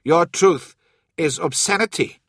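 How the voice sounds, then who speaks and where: An elderly man , acted out by a male audiobook reader, speaks with defiant, miserable scorn. His thick, antique accent comes out slowly and haughtily, in a husky, creaky voice recorded dry and close. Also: mid-range voice, big pitch swings, a bit bright, no echo, full character voice. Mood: bitter. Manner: slow, deliberate, haughty, bitter.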